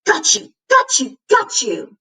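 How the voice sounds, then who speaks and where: A woman voicing a crazed, excitable male monster cat from a children's storybook, howling manically. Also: high-pitched, slow, vocal fry, breathy edge, far from the mic, pitched above the performer's own voice, heavily acted. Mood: triumphant.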